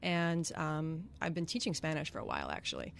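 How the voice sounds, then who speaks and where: A woman's voice: sits low, wildly swooping pitch, a little fast, creaky, a little hiss or hum. A woman from Connecticut, a singer-songwriter, chatting as a guest in a radio studio interview, with many ums and easy laughs. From Greenwich, Connecticut.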